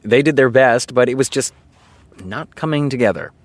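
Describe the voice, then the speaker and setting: An actor playing a candid, wry TV showrunner, talking conversationally and pausing for effect in a naturalistic audio drama. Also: male voice, mid-range voice, expressive, dry recording. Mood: rueful, confiding. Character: candid male TV showrunner, wry and self-deprecating. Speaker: television writer character.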